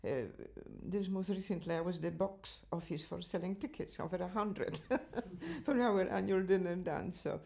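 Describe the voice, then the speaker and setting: An older woman from Poland with a Polish accent, chatting about her volunteer work in an interview, laughing often and speaking in halting, non-native sentences. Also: on the low side, gravelly, mellow. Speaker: interviewee, cultural association chair. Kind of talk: reminiscing interview answers. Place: living room at home, indoors.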